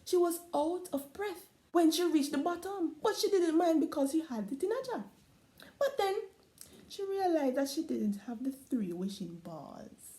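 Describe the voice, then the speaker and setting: A woman with a Caribbean accent reading a folktale aloud, expressive and prone to laughs and asides. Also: high-pitched, reverberant, poor audio. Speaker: storyteller.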